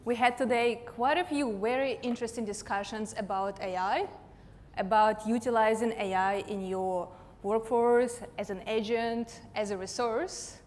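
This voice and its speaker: A woman's voice: fairly high pitch, fairly even intonation, unhurried, a little mellow. A woman in her thirties from Russia, speaking English with a Russian accent, gives a chatty, self-deprecating conference talk with light laughs. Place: large conference hall. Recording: long hall reverb.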